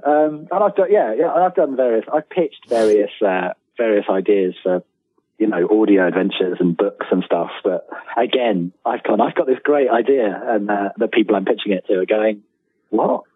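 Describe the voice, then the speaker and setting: A man's voice: lowish voice, moderate pace, husky. British man on a thin, echoey phone line. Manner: chatty, self-deprecating, stammering repeats of words. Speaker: interview guest, writer. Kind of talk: casual anecdotal interview.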